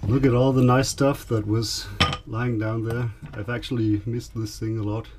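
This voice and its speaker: A man's voice: mid-range voice, flat delivery, moderate pace. A man in his thirties or forties with a Danish accent, narrating a casual DIY vlog in a hesitant, understated way. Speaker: boat owner and vlogger. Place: small boat cabin. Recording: clear background noise on camera audio.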